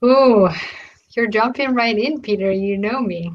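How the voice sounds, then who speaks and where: A woman with a fairly high voice tells personal stories as a guest in conversation. The recording is slightly dull and lo-fi. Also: unhurried. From Romania, ethnic Hungarian family. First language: Hungarian. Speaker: podcast guest, coach of engineers.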